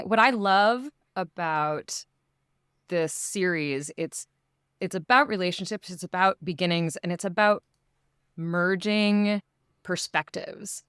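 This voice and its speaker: Woman, voice actor guest, low pitch, smooth clear tone, articulate, conversational, booth studio mic. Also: fairly bright tone, no room sound. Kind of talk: conversational, articulate interview talk. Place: home recording booth. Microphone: studio condenser mic.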